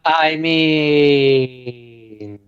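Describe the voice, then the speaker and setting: Smooth-voiced man, dry and slightly dull recording. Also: a little high, drawn-out words, dry recording. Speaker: podcast co-host. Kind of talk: casual podcast banter.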